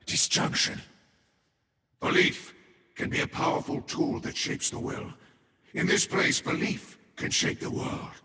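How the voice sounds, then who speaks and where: Echoing, haughty inhuman overlord. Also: male voice, fairly high pitch, sharp tone, exaggerated voice. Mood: cautionary. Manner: solemn, imperious, formal, measured pronouncements. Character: haughty, ominous serpent-folk overlord addressing human slaves. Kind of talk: scripted fantasy game lore narration. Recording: echoey hall reverb with ambient noise.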